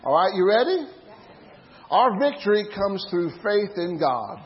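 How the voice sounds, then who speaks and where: A woman's voice: medium pitch, sing-song, a little slow. A woman leading a church service, speaking in fervent, declamatory phrases of praise and exhortation to the congregation. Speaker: church worship and service leader. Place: church hall during a live service. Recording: muffled live church sound with loud music. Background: loud worship music.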